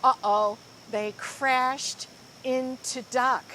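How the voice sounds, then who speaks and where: A woman reads a children's story aloud in a fairly high voice. She takes it very slowly, phrasing each line dramatically for young listeners. There is some background noise in the recording. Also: steady tone, bright, forceful tone. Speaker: school librarian reading story time. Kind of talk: expressive children's picture-book read-aloud.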